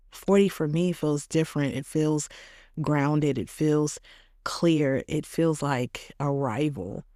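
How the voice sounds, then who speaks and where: A woman in her late thirties hosting a podcast, delivering a reflective scripted monologue in slow, measured, affirming short phrases. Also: sits low, dark tone, no echo, clean studio sound. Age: late 30s. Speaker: podcast host.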